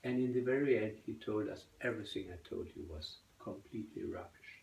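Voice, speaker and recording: Ein Mann mit tiefer, knarzender Stimme, der im Englischen nach Worten sucht und nachdenklich auf Interviewfragen antwortet. Der Raum hallt deutlich nach, und leises Rauschen liegt darunter. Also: male voice, lowish voice, brisk, gravelly, a little mellow, echoey room, poor audio. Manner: hesitant, searching for words. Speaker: language learner being interviewed. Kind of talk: reflective interview answers.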